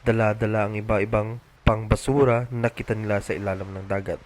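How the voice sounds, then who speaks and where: A man's voice: lowish voice, level tone, a little fast. A man reads a children's storybook aloud as the narrator. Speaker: storybook reader.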